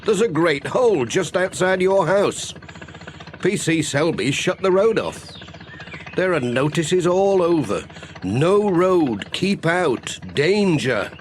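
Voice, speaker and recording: A man plays a friendly village postman from a children's audiobook. He chats and muses to himself with plenty of rise and fall, at an unhurried pace. There is a little noise on the recording. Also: on the high side, expressive, pitched above the performer's own voice. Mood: urgent, chatty. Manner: cheerful musing, talks to himself. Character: friendly, chatty village postman, muttering to his cat. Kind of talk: children's audiobook character acting.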